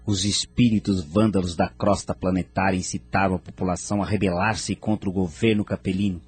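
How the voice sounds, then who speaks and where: A man playing a noble spirit mentor in an audio drama, narrating in a measured, formal, grave manner. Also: deep voice, steady tone, husky, faint music behind. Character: Inumar, grave noble male spirit mentor recounting history.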